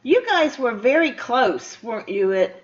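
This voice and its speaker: Older woman, radio host, higher voice, chatty, rambling interview style, muffled lo-fi sound. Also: sing-song, a little slow.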